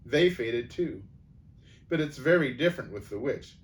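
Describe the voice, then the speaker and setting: A man's voice: mid-range voice, lively intonation, normal speed, natural voice. A man voicing a bitter, hostile dwarf who speaks coldly and snaps at others in a storybook reading. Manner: cold, snapping, rising to a scream. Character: bitter, hostile male dwarf, cold and resentful.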